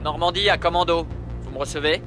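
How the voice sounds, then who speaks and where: A casual male spaceship pilot in a video game. His fairly high voice comes over the radio, with music behind it. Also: steady tone, fairly quick. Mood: alert. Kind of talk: French game dub acting.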